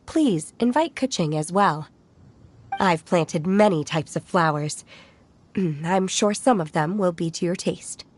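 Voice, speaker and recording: A woman voicing a calm, stoic young disciple of immortals in a video game, formal and measured. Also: lowish voice, slight background noise. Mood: hospitable, firm. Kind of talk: video game character acting. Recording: music playing behind.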